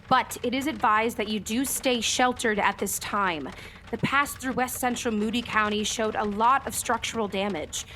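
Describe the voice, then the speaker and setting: A woman's voice: fairly high pitch, sharp tone. A woman acting as an earnest, kindly television meteorologist in a naturalistic radio play, speaking articulately. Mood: official, measured. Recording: faint background noise.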